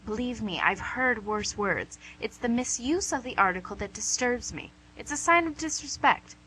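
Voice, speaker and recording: Woman for suave devil boss lady, radio comedy. Bright, crisp condescension, pedantic, slightly noisy recording with hum. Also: a little high, lively intonation, moderate pace. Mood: pedantic, scolding. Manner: pedantic, condescending, mock-polite. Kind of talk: amateur radio comedy acting.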